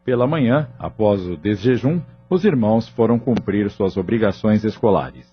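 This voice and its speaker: A man narrating a scripted audio novel in a formal, measured manner. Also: lowish voice, animated. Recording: dull lo-fi audio with music underneath.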